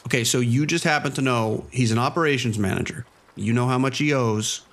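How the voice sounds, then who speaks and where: Man playing a calm male police officer, skeptical, measured questions, mid-range voice, audio drama. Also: medium pitch, natural voice. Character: patient, probing male cop questioning an informant.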